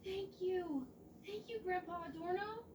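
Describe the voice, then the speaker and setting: A woman's voice: very high-pitched, measured pace. A woman voicing a small, polite, timid child in a video game. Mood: meek, grateful. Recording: roomy, distant, noisy game capture.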